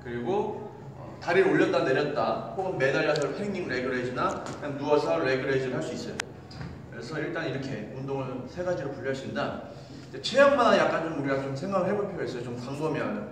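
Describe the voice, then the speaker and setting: A man's voice: a little high, fast, distant mic, noisy background. Man coaching in an echoey gym. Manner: animated, demonstrating with gestures while talking. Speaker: fitness coach. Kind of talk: explanatory exercise lesson. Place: large indoor gym. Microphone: far camera.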